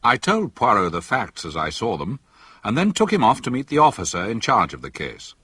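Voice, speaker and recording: An actor playing a cautious village doctor who narrates a radio mystery, speaking in a dry, educated English accent. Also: male voice, lowish voice, huge pitch swings, brisk, bright, forceful tone, husky, heavily acted. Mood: dry, matter-of-fact. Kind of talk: radio drama narration and dialogue.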